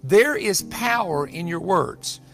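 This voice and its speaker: A man's voice: high voice, expressive, takes it slowly, gravelly, a bit bright. A man in his sixties teaching the Bible in a sermon style, emphatic and given to repeating phrases for weight. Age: 60s. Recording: music bed under the voice. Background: music.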